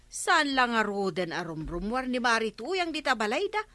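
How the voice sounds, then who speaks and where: Fretful, high-voiced, animated village woman. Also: normal speed, dry recording. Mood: gossipy. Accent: Filipino, Ilocano. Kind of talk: Ilocano radio comedy drama acting.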